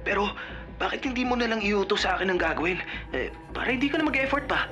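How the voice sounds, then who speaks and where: A man's voice: sits high, animated, fast talker, sharp tone, faint music behind. An actor playing an eager, teasing male hacker sidekick in a Filipino radio drama. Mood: helpful, concerned.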